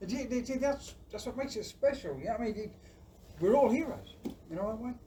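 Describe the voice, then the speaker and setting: An actor playing a warm, earnest farmer father in an audio play, rambling and repeating phrases in a folksy way. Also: mid-range voice, lively intonation, fairly quick, natural voice. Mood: warm, jovial. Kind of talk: community audio play acting. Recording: distant mic with faint background noise.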